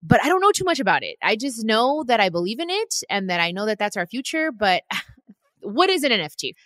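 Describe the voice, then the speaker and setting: A woman's voice: fairly high pitch, very animated, a little fast, fairly bright tone, no room sound. Bubbly, enthusiastic woman podcast host. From Mexico. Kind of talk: casual interview chat.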